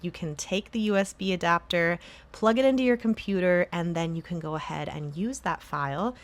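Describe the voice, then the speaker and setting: Female tutorial presenter, slightly lower register, scripted step-by-step instructional narration. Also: normal speed. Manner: clear step-by-step instructional delivery.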